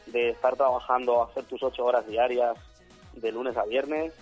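Man, husky and a little hoarse, rambling guest chat, faint music behind. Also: medium pitch, fairly even intonation, normal speed. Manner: rambling, acts out what others say in quotes. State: hoarse after a weekend of shows. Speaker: DJ and singer, interview guest. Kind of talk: casual interview chat.

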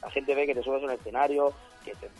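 A man, a DJ and singer chatting as a guest, slightly hoarse, rambling and acting out what others say. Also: medium pitch, steady tone, quick pace, a little mellow, soft background music. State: hoarse after a weekend of shows. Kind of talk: casual interview chat.

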